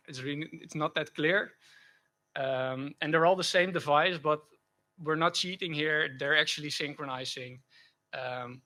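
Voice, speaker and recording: A man giving a technical conference talk and answering questions, speaking haltingly with frequent self-corrections. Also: on the high side, pushed and bright. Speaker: conference speaker presenting a project.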